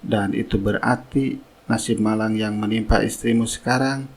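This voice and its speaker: Man acting a stern older wealthy father, low husky voice, measured, authoritative, cartoon drama. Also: steady tone. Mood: stern, angry.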